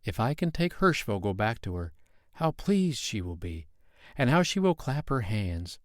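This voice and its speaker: A man voicing an earnest small boy who pleads in a high, trembling voice. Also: sing-song, normal speed, no room sound, studio quality. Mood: hopeful.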